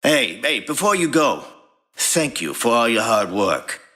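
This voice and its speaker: Man acting an old otter mechanic, lively and bright. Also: medium pitch, unhurried. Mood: warm, grateful. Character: gruff old male otter, mechanic boss, kindly. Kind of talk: acted audio fiction dialogue.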